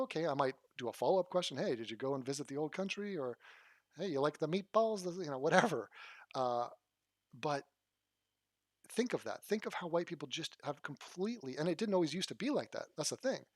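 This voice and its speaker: A man's voice: a little high, animated, brisk, no echo, clean studio sound. A man hosting his channel, giving blunt, rambling casual commentary and swearing freely. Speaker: channel host reacting to a clip.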